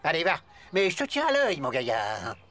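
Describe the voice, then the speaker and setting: Gruff, wildly swooping cartoon grown-up. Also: mid-range voice, huge pitch swings, normal speed. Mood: suspicious, menacing. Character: gruff, suspicious grown man bullying schoolboys. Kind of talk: French cartoon dubbing. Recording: loud music behind the voice.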